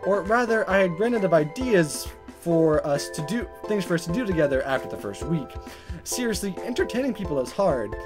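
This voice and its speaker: Animated man reading a game aloud. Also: sits high, fairly quick. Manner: animated, chuckling, stumbling over the text. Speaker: let's-play host reading a visual novel aloud. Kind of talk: playful dramatic reading with joking asides. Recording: music playing behind. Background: game music.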